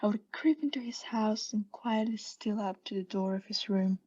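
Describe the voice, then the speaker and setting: A woman playing a mad condemned murderess in a radio play, intense and halting, often sinking into breathy hushed tones as she insists she is sane. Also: medium pitch, fairly even intonation, dull audio, very noisy, lightly acted. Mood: hushed, conspiratorial. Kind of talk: theatrical radio play monologue.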